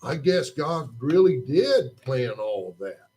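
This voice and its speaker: A man's voice: medium pitch, sing-song, slow, a little mellow. A man voicing a curious, playful young boy puppet in a church children's puppet show, asking questions and cracking jokes. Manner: questioning, jokey and full of wonder.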